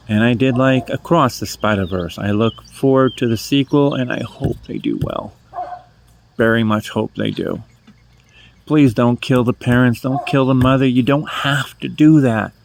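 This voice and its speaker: A man's voice: medium pitch, gravelly, a little hiss or hum. A man over fifty from New Hampshire with a New England accent, rambling through his first book vlog, self-deprecating and correcting himself as he goes. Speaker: first-time book vlogger. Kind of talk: casual rambling monologue. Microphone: phone held in hand.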